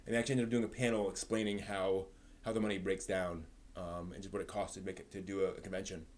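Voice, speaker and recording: A man hosting a live stream with casual, rambling commentary, often halting and repeating his words. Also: low-pitched, little pitch movement, a little mellow.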